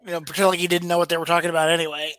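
A man's voice: on the high side, level tone, rapid-fire, fairly bright tone, no room sound. A man co-hosting a podcast, chatting casually as he recaps a TV show. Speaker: podcast co-host.

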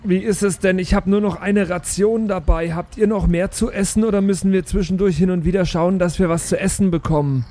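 High-pitched, mellow male role-player. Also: steady tone, a little fast, few pauses. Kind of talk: casual improvised in-character play.

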